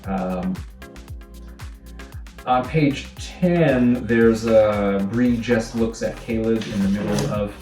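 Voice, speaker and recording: A man giving informal director's notes to actors, halting and thinking aloud as he goes, sometimes acting out sample lines. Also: lowish voice, darkish tone. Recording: roomy, distant mic, faint music.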